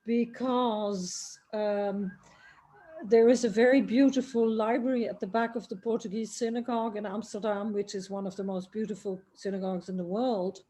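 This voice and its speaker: A woman's voice: a little high, warm tone, poor audio. A middle-aged woman from the Netherlands, a singer and writer, telling her family story in a rambling way with many restarts, speaking from home. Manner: rambling, restarts often, trails off. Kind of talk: remote interview, conversational storytelling. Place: home in Dublin, near a busy road.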